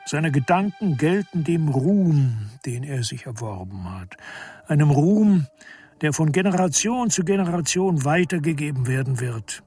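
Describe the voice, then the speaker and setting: A man narrating a literary radio drama with deliberate, dramatic phrasing. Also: on the high side, animated, darkish tone, slightly rough, soft background music.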